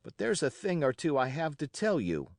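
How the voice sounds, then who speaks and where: A bold young cabin boy, as a male reader puts him on, pitched high and climbing with each defiant claim. His voice swings widely as he pleads and boasts, and the recording is close and dry. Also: wildly swooping pitch, moderate pace, vocal fry, no room sound, exaggerated voice. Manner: breathless, rising, defiant pleading.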